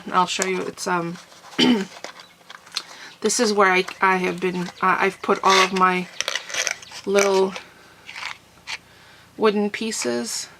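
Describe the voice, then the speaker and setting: A woman's voice: medium pitch, a bit bright, slight background noise. Stuffed-up woman chatting at her craft desk. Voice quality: husky, fading voice. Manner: chatty, rambling, clears throat often. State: stuffy, congested voice. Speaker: crafting vlogger answering viewer comments. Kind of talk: casual chat to camera. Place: home craft room desk. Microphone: camera on a tripod nearby.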